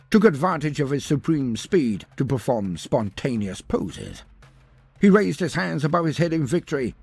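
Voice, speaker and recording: A man delivering dramatic scripted narration of a fantasy battle story, theatrical, with deliberate pauses and emphasis. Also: medium pitch, a little mellow, husky.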